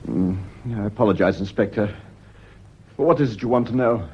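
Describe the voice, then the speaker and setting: An actor playing a defensive, well-bred British widower under suspicion in a radio drama, speaking in a hesitant, genteel, easily flustered way. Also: male voice, on the low side, fairly quick, husky. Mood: uneasy, defensive. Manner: hesitant, stammering, indignant, genteel. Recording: muffled old radio recording.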